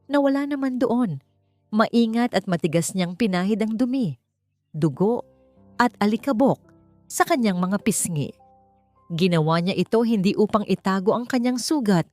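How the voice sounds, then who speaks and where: A woman with a Filipino accent reading a scripted story in a deliberate, emotive, theatrical way. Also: mid-range voice, darkish tone. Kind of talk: dramatic scripted story narration. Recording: roomy sound with faint music. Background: faint music.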